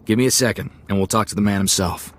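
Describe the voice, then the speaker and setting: An actor playing an eager, friendly gunslinger sidekick in a video game, with an easygoing, folksy way of talking. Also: male voice, on the low side, fairly even intonation, fast talker, natural voice. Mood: confident.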